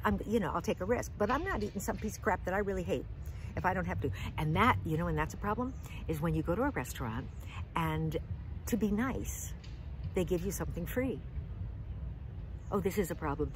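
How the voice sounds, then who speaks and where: An older woman telling funny food stories in a chatty, animated way, filmed outdoors in the woods on a close phone camera. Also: fairly low pitch, slight background noise. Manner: chatty, animated, with lots of fillers and jokes. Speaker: vlog host. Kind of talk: casual comic storytelling.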